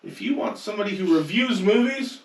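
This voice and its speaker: A man's voice: sits high, animated, a little fast. A man talking casually as a podcast co-host in a group discussion. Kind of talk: casual panel chat. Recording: echoey room, distant mic, faint noise.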